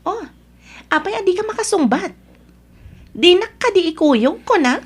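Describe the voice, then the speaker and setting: A woman's voice: very high voice, expressive, moderate pace. A woman acting a jealous, demanding wife in an Ilocano family drama, accusing and confrontational. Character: jealous, demanding, suspicious wife named Jinky.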